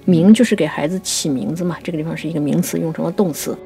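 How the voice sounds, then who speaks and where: A woman with a fairly low voice talks through literature as a podcast co-host. She explains in a thoughtful, conversational way and restates her points. Soft music plays behind her. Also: quick pace.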